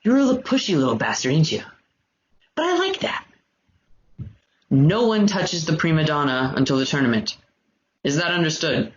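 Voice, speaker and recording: A man's voice: fairly high pitch, very animated, moderate pace, long pauses. A man playing a menacing, contemptuous karate sensei in a table read of a film scene, with a hard, clipped delivery. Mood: threatening. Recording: dull, noisy home call audio.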